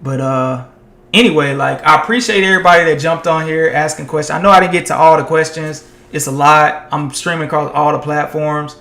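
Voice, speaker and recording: A man streaming tech content live, talking loosely and chatting with viewers, his voice tiring and going hoarse after hours of talk. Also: on the high side, steady tone, fairly quick, sharp tone, roomy sound. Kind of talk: casual live-stream chat.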